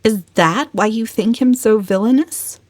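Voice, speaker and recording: A woman's voice: fairly high pitch, unhurried, darkish tone, dry recording, voice pushed up, natural voice. A woman voicing a defiant, outspoken young spinster in a dramatic storybook reading, speaking with emphatic indignation. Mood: composed, bold.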